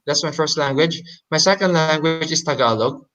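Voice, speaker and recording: Man, hesitant presenter, non-native English, higher voice, bright and forceful tone. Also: moderate pace, rough recording. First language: Hokkien Chinese. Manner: hesitant, many fillers and restarts. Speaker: debate presenter. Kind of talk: halting explanatory presentation.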